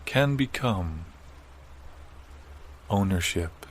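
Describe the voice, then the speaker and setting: A man in improvised audio comedy playing a solemn, pseudo-profound sage, delivering tangled wisdom deadpan with weighty pauses. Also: deep voice, a little hiss or hum, full character voice. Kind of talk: improvised audio comedy, mock-meditative pronouncements.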